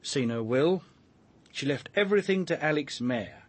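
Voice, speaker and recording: An actor playing a chatty, wry police detective in a naturalistic radio drama, colloquial and a little hesitant. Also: male voice, a little high, lively intonation, moderate pace. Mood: wry, musing.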